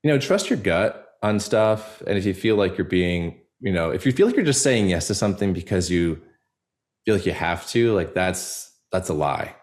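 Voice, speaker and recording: A man with a fairly low voice talks as a podcast guest in an informal, halting style. He circles through his thoughts conversationally, leaning often on 'like' as he goes. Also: animated, a little mellow. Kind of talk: casual personal interview talk.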